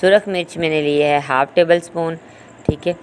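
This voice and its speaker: A woman's voice: deep voice, steady tone, moderate pace. A woman giving an informal, instructional recipe voice-over as a cooking host. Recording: home recording with clear background noise.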